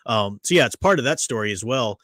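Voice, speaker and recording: A man's voice: medium pitch, animated, brisk, a bit bright, no room sound, clean studio sound. A man hosting a casual podcast discussion, rambling with frequent restarts and fillers. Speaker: podcast host.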